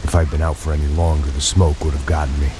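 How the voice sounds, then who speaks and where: A very deep, world-weary ex-cop. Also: male voice, fast talker. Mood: grim, sardonic. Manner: world-weary, deadpan, wry. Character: burnt-out, bitter middle-aged male ex-cop bodyguard, sardonic. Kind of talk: noir game voice-over narration. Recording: loud music over the voice.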